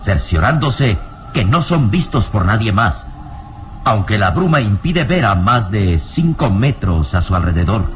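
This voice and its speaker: A man's voice: fairly low pitch, big pitch swings, measured pace, faint music behind. A man delivering dramatic scripted narration as the narrator of an old radio serial.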